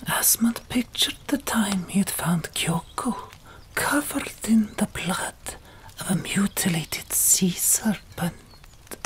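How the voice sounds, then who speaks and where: A woman's voice: medium pitch, animated, slow pace. A woman narrating an audiobook in a whispered ASMR style.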